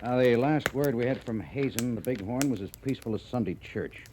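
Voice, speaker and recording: A man's voice: medium pitch, brisk, natural voice. Man playing skeptical, officious cavalry major. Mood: brisk, officious. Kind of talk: 1950s radio western acting. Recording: old radio recording with background noise.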